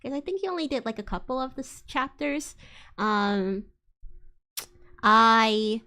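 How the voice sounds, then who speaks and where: A woman with a distinctly high voice hosts a casual livestream. She talks in loose, slangy, rambling chat and is prone to giggles. Her delivery is informal and addressed straight to her viewers. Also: animated, unhurried, sharp tone. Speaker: livestream host.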